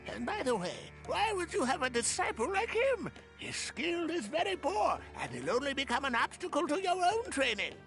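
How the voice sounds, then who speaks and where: High, creaky, mischievous old hermit. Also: female voice, wildly swooping pitch, normal speed, few pauses, over music, exaggerated voice, poor audio. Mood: amused, dismissive. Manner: teasing, aloof, amused. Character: playful, smug, long-lived hermit sage, mischievous and teasing. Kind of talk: video game story cutscene acting.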